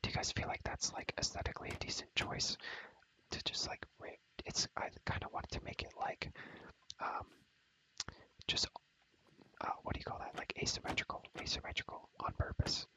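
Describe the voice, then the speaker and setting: A man's voice: normal speed, pushed and bright, low-quality recording. A man whispering an ASMR video game tour, rambling and repeating his phrases over and over. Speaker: video creator showing a Minecraft world.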